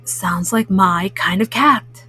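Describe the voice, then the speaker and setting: A woman's voice: medium pitch, slow pace, pushed and bright, slightly rough, voice pushed down, full character voice. A woman voicing an easygoing, kindly male cat in a children's story, with a laid-back, reassuring manner. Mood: easygoing, friendly.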